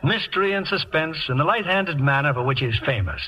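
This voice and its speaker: A man's voice: fairly high pitch, animated, brisk, highs cut off. A man hosting a radio theater broadcast in scripted 1950s style. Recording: old radio recording with faint music.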